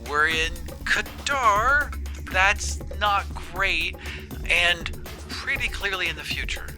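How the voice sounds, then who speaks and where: A man's voice: sits high, expressive, slow, music in the background. A man voicing an upbeat, frank female spirit who speaks through phones in a tabletop game, with a breezy, slightly hesitant manner. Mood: sheepish, frank.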